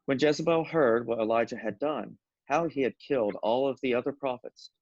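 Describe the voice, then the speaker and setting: A man of average pitch narrates scripture from a script. The recording is very dry and a little dull. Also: fairly quick, darkish tone, no room sound.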